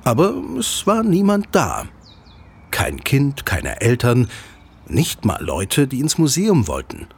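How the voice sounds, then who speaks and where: A man narrating a scripted children's audio drama as an expressive storyteller with deliberate phrasing. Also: mid-range voice, husky.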